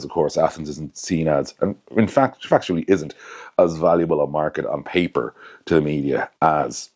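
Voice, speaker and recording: A man's voice: rumbling low, darkish tone. A young man hosting his own channel, giving casual basketball analysis to camera in a rambling way, often correcting himself and adding asides. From Ireland. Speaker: basketball commentator, channel host.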